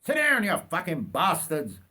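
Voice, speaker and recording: Storybook bushman, played by a male reader: old, rough, broad Australian, raised pitch, blunt roaring orders. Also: wildly swooping pitch, normal speed, bright, forceful tone, exaggerated voice. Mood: gruff, bossy. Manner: roaring, blunt, matey commands.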